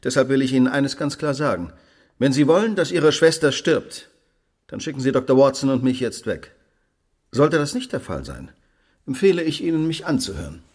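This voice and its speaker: An actor playing a shrewd, self-assured detective who speaks precisely and probingly in a radio play. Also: male voice, mid-range voice, animated, normal speed, darkish tone, breathy edge. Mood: firm, commanding. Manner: precise, probing, imperious deduction. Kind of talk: dramatic radio play dialogue.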